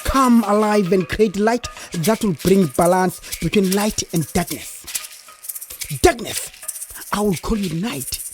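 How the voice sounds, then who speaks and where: Actress doing a fire spirit woman, restless n dramatic, slow declaiming, kids folktale radio play. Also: medium pitch, soft background music, lightly acted. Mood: commanding. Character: restless, easily bored female fire spirit. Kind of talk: theatrical children's folktale audio drama.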